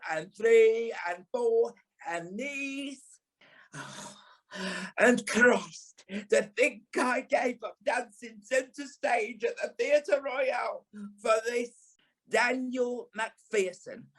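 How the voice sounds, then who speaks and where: An actor playing a camp, sharp-tongued British dance teacher and former stage dancer in an audio play, theatrical and sarcastic. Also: male voice, extremely high pitch, extremely slow, exaggerated voice, rough recording. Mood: sarcastic, scolding. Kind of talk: audio play monologue and dialogue.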